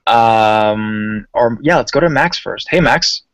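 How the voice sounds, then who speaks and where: A man hosting a voice chat in a relaxed, slangy way, calling the guests "bro" and pressing them with questions. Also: mid-range voice, slow pace, no echo. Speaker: host.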